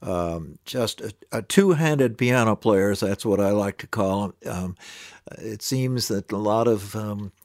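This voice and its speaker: A man hosting a music radio show, talking in a relaxed, reflective way and telling a short anecdote. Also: lowish voice, measured pace, no echo, studio quality. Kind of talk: casual radio talk and anecdote.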